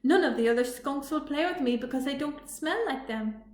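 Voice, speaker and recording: Storybook part: lonesome, friendly male skunk, voiced by a woman, high-pitched, echoey room. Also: lively intonation, normal speed, darkish tone. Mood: sad. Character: lonely, friendly male skunk, sad turning eager. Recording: echoey room, faint noise.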